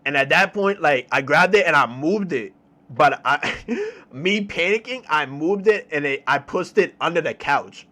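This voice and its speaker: A young man with a New York accent telling a story in a casual, slangy, animated way, repeating phrases for emphasis. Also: a little high, unhurried. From New York City, United States. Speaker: storytelling streamer.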